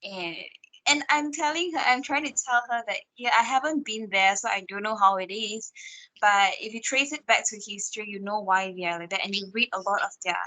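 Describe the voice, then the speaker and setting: A woman's voice: mid-range voice, a little fast, pushed and bright, poor audio. A woman with a Malaysian accent talking casually and anecdotally in a group discussion. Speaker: book club participant.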